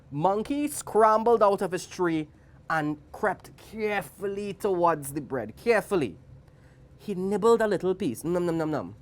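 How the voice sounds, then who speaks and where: Animated Trinidadian woman reading a story. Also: on the low side. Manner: animated, theatrical, playful. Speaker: guest story reader for children. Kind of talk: expressive storybook read-aloud with chatty asides to children.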